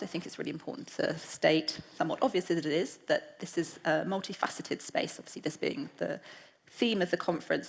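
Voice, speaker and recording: A woman museum curator opening a panel discussion in a measured, deliberate way, often pausing with ums. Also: deep voice, gravelly.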